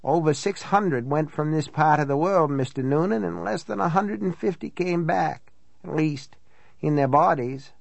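A man's voice: a little high, normal speed, gravelly, no room sound, voice pushed up. A man voicing an older small-town housekeeper woman from an audiobook, blunt, gossipy and matter-of-fact. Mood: matter-of-fact, grim relish. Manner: folksy, matter-of-fact storytelling. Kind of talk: audiobook character dialogue.

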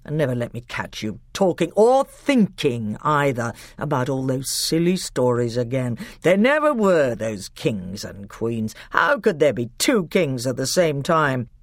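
Brief: woman reader playing a cold, stern king, low and deliberate, dry close sound. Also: sing-song, no echo, full character voice. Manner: stern, slow and commanding. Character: stern, cold middle-aged male king.

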